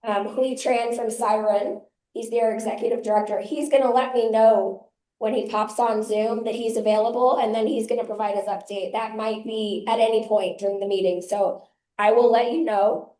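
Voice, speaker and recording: A hoarse woman with laryngitis opens a member meeting as chair and founder, giving brisk, practical announcements to a live audience. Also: fairly high pitch, steady tone, dark tone. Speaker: chair and founder of a local activist group. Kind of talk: opening remarks at a member meeting. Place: community meeting room with an audience. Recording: echoey meeting room.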